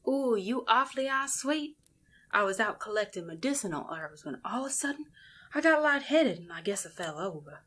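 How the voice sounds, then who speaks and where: A mysterious Cajun healer woman, played by an actress, folksy and playful in a drawl. Her pitch swoops up and down freely, in the manner of an audio drama. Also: expressive, normal speed, a bit bright. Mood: grateful.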